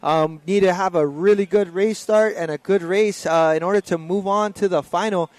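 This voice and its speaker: A man's voice: high-pitched, moderate pace, darkish tone, dry recording. A man doing live race commentary at a paddling championship, talking loosely with lots of fillers and self-corrections. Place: outdoor race venue broadcast.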